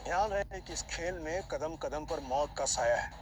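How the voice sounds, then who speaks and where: An actor playing a stern, testing gambling mentor in an audio drama, speaking in a cautionary way. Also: male voice, on the high side, moderate pace, noisy background, natural voice. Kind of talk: Hindi audio drama acting. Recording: loud music over the voice.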